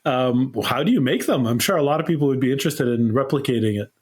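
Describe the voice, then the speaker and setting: A man hosting a casual interview, friendly and quick to laugh. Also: mid-range voice, lively intonation, a little mellow, slightly rough. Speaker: host.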